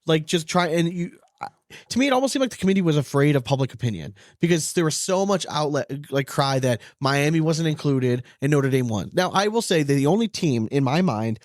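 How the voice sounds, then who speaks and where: A man with a slightly high voice, recorded close and dry, talks sports casually as a co-host. He is opinionated, rants readily and swears freely. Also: darkish tone, dry recording. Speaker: podcast co-host, former team coach.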